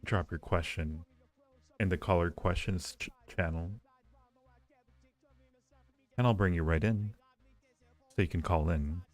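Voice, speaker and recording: Low-voiced man, playful livestream host. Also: a little slow, long pauses. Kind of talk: casual livestream chat with viewers. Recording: roomy echo, faint music behind.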